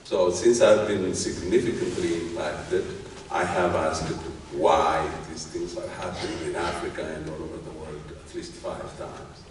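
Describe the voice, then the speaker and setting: A man in his fifties with an Ethiopian accent, giving a commencement speech in short phrases with pauses between them. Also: rumbling low, steady tone, crowd noise. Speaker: graduation speaker, tech executive. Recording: very echoey hall, distant mic, faint noise.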